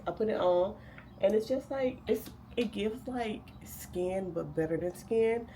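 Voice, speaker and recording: A woman's voice: mid-range voice, a little slow, warm tone, a little hiss or hum. Nasal young woman with a cold. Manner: chatty, rambling, self-amused, lots of fillers. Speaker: vlogger and graduate student. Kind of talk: casual day-in-the-life vlog chat. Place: at home getting ready.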